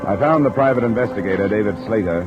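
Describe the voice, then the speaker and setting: A man's voice: on the low side, fast talker, close to an everyday voice. An American man playing a hard-boiled, wry insurance investigator in an old-time radio drama, speaking in a clipped, matter-of-fact way. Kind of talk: old-time radio detective acting and narration. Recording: muffled vintage radio recording. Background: music and steady rain.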